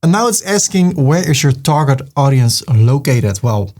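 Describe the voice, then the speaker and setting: A man's voice: medium pitch, big pitch swings, normal speed, a little mellow. A man with a Dutch accent, from the Netherlands, giving a screen-recorded walkthrough step by step and reading the on-screen prompts aloud. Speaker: web tutorial host.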